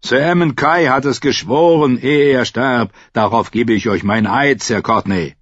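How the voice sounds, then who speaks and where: A man's voice: mid-range voice, a little slow, breathy edge, dry recording, lo-fi sound, pitched above the performer's own voice. A man voicing an insistent lord in a slightly raised voice. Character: male lord, insistent loyalist.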